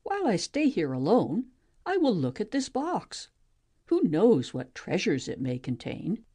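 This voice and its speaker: A woman acts out a restless, wondering young heroine of a mythic play. The voice is soft-edged and slightly husky, with very lively melody. She muses aloud, full of curiosity. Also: on the low side, unhurried, exaggerated voice. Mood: coaxing, curious. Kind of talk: amateur audiobook play reading, expressive.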